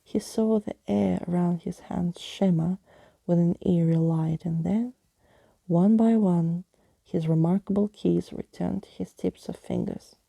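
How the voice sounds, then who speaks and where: Very creaky, soft ASMR woman storyteller. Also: fairly low pitch, steady tone, unhurried, dry recording. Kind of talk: ASMR bedtime story reading.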